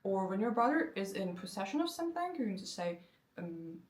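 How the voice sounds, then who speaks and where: A woman in her twenties, a native Turkish speaker, explaining language learning in a casual, lively way and slowing down for example sentences. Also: medium pitch. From Turkey. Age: 20s. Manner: lively, conversational, slows down to spell out example sentences. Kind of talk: casual explanatory talk to camera.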